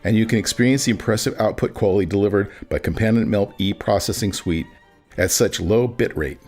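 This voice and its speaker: A man's voice: on the low side, brisk, darkish tone. A man reading a scripted product demonstration as a tutorial narrator. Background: background music.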